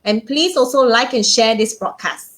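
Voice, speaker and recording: An upbeat woman co-hosts a travel livestream in a slightly high voice. She reads out announcements and place names brightly. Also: normal speed. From Singapore. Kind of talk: casual live-stream chat.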